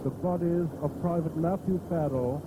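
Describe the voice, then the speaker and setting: A man with an Irish accent giving grave, measured live commentary on a funeral procession in an old radio style, pausing between short phrases. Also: a little high, background noise. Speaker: radio outside-broadcast commentator. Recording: old reel-to-reel radio tape, band music behind. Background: loud marching band music.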